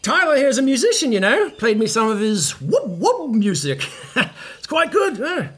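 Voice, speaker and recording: Husky, washed-up rock star. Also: male voice, extremely high pitch, sing-song, normal speed, fairly bright tone, exaggerated voice. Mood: breezy, boastful. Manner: rambling, chuckling, slangy and flippant. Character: washed-up, breezy, shameless old male rock star. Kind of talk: audio comedy acting.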